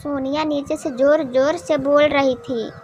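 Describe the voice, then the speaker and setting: A woman's voice: high voice, fairly even intonation, measured pace. A woman narrating a scripted moral story as its storyteller. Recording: clearly noisy background.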